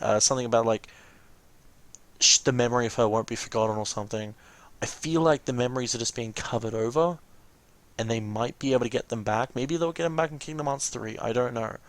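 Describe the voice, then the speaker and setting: A man's voice: mid-range voice, a little fast, no echo. A man giving casual reaction commentary over game cutscenes, explaining and musing as he goes. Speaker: let's watch host. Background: game music behind the voice.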